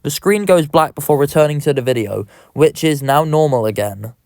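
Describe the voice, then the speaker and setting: Higher-pitched male narrator, dry close sound. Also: normal speed, creaky, a little mellow, dry recording. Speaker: horror video narrator. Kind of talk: scripted narration.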